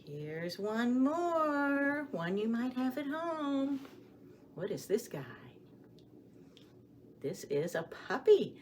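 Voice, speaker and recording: A woman hosting a story time for young children, speaking to them with questions and expressive emphasis as she shares rhymes and picture books. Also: on the high side, a little hiss or hum.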